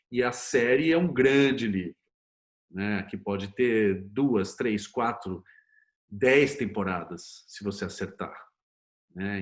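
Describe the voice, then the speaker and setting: A Brazilian man talking as an interview guest, explaining things conversationally in a storytelling way with frequent tag questions. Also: fairly low pitch. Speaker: interview guest, series producer and screenwriter.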